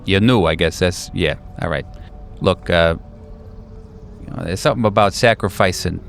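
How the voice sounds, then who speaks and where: A man playing a weary, hardened veteran handler in a tabletop roleplay, talking in a halting, offhand way that trails off. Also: sits low, vocal fry, soft background music. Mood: jaded, hedging. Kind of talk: improvised tabletop roleplay dialogue.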